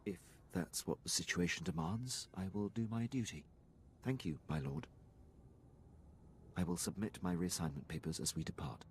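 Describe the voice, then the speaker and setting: A man's voice: deep voice, normal speed, slight background noise, close to an everyday voice. An actor playing an earnest, loyal Imperial officer in a video game, formal and precise in his deference. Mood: proud, devoted. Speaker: game character, military officer.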